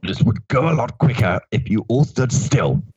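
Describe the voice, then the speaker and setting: A man voicing a weary, grim old soldier in a gruff, clipped, slightly raised voice, read as a comic story. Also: mid-range voice, sing-song, normal speed, darkish tone, no room sound, dull audio, pitched above the performer's own voice.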